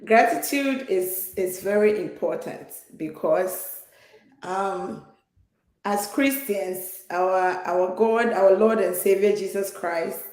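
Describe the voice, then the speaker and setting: A woman's voice: mid-range voice, takes it slowly, a little mellow. Ghanaian woman speaking in an echoey room. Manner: earnest, with frequent fillers and repeated words. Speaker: guest. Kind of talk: conversational interview.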